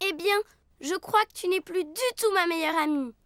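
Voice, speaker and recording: A woman's voice: extremely high pitch, normal speed, very noisy. A woman voicing a proud young girl sheep in a French-dubbed cartoon, childlike in manner. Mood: huffy.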